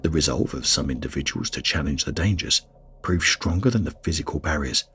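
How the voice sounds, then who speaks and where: A man reading a scripted documentary narration in a grave, measured way. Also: extremely low pitch, slight background noise. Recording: faint music bed under voice-over.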